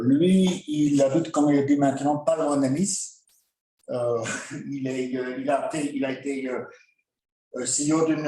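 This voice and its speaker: A man, giving a lecture as a guest speaker, halting, with frequent filler pauses and wry asides. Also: mid-range voice, darkish tone. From Belgium. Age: 50s. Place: lecture hall. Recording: very echoey hall from far off.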